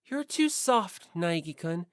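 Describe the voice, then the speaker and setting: A woman voicing an aloof, skeptical teenage girl in an audiobook, with a deliberate, probing and detached delivery. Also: lowish voice, sing-song, dry recording, studio quality. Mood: dry scrutiny.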